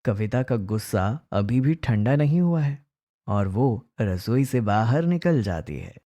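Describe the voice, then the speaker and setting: Male narrator for scripted family tales; mellow tone, unhurried delivery. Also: mid-range voice, animated.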